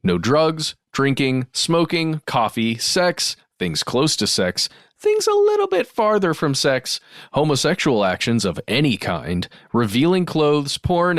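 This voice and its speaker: Male podcast co-host, average pitch, dry recording. Conversational reader who pauses to explain and recap. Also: no echo. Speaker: podcast co-host reading listener mail. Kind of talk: conversational podcast, reading emails aloud with asides.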